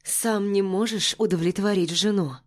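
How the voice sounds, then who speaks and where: A woman narrator voicing a defiant, wronged heroine in an audiobook, speaking emphatically and deliberately. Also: mid-range voice, steady tone, darkish tone, lightly acted. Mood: scornful.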